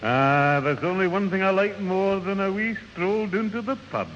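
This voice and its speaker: A man's voice: high voice, moderate pace, a bit bright. A man playing an old grandfather in an old radio comedy. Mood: contented. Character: old Scottish grandad, grumpy but fond of drink. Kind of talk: 1970 radio comedy acting. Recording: muffled, echoing old radio recording, faint noise.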